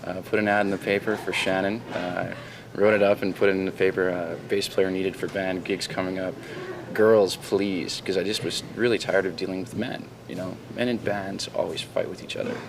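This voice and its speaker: A Canadian man, a guitarist who founded his band, answering interview questions in a confident, rambling way and clearing his throat now and then. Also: low voice, steady tone, moderate pace, hardly any pauses. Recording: raw camcorder audio with noticeable noise.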